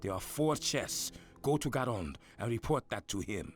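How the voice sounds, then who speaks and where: A man voices a duty-bound paladin in a fantasy video game, the last survivor of his company. He speaks formally and solemnly, in a mid-range voice that stays steady and upright. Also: mid-range voice, moderate pace, soft background music, close to an everyday voice. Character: stern male paladin guarding ore alone. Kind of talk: dubbed video game dialogue.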